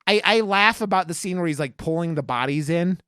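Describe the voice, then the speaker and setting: A man's voice: fairly high pitch, brisk, pushed and bright, smooth, no echo, clean studio sound. A man hosting a casual podcast, chatting about films in a gushing, enthusiastic way with plenty of swearing. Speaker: podcast host. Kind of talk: casual, profane film review chat.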